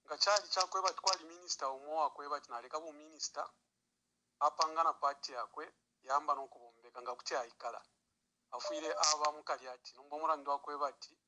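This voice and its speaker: A man's voice: on the high side, steady tone, a little fast, sharp tone. Zambian man calling in over noisy line. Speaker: caller. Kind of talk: spontaneous political commentary.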